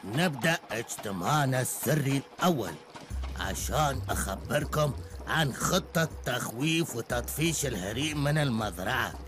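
A man's voice: medium pitch, expressive, normal speed, music in the background, natural voice. A man voicing a smug middle-aged bus driver in a cartoon, lecturing in an Emirati Arabic accent. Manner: lecturing, self-important. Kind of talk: Gulf Arabic cartoon dub.

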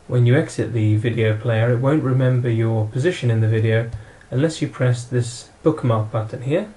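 A man's voice: mid-range voice, normal speed, dark tone. A man narrating an instructional product walkthrough in a plain, matter-of-fact way, as a demonstrator.

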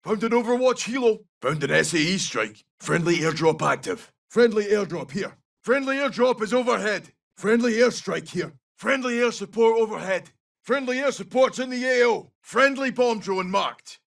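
An actor playing a tough, loyal Scottish special forces soldier in a video game, giving short, clipped callouts in a gravelly voice. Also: male voice, sits high, expressive, a bit bright, far from the mic, exaggerated voice. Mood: urgent, commanding. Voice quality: husky, gravelly. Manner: clipped, brisk, urgent.

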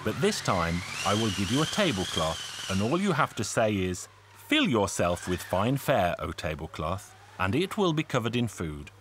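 A man voicing the old King of the Winds in a cartoon folk tale, speaking with calm authority. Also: lowish voice, normal speed, soft background music. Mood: stern. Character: kindly, commanding old male King of the Winds.

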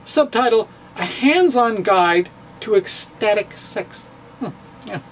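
A middle-aged man giving a dramatic, comedic reading of a physics blog, halting and theatrical with emphatic pauses. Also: high voice, animated, fairly bright tone, a little hiss or hum, low-quality recording. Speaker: blogger, stand-up physicist. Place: indoor room with bookshelves. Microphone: handheld-style microphone on stand, close.

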